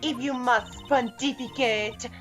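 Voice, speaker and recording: Woman acting a high-voiced, cold, imperious villainess. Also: fairly even intonation, takes it slowly, a bit bright. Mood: cold, disdainful. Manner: curt, disdainful. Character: cold, menacing, imperious female studio producer. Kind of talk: video game fan dub acting. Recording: faint background music behind voice.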